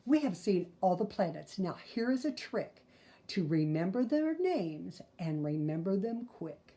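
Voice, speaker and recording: An older woman reads a storybook aloud to young children in a soft-toned, mellow voice. She takes her time over each phrase at a very slow pace, slipping in gentle asides to the listeners. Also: on the low side, very animated. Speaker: storyteller reading a children's picture book aloud.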